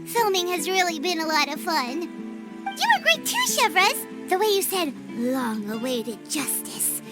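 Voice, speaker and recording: Game fairy sidekick: tiny, chatty, childlike, extremely high squeak, music playing strongly underneath. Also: female voice, big pitch swings, a little slow, heavily acted. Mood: excited, chatty. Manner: talks about self in third person. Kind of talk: video game character acting. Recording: music behind the voice.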